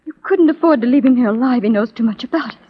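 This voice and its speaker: A woman's voice: fairly high pitch, racing, breathy edge. A woman playing an earnest, torn young spy in a 1940s radio drama, in an intense, melodramatic manner. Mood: anguished pleading. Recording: muffled 1940s radio recording.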